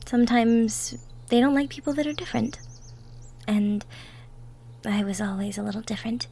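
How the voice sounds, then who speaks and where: High-pitched, sweet young woodland woman, played by an actress, gentle and cheerful, folksy, for an intimate roleplay audio. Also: flat delivery, moderate pace, slight background noise, natural voice. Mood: wistful, reassuring. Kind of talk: roleplay audio drama, intimate one-sided conversation.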